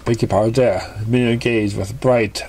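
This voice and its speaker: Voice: medium pitch, fairly even intonation, fairly quick, darkish tone, slight background noise. A narrator reading a scripted fan fiction story aloud.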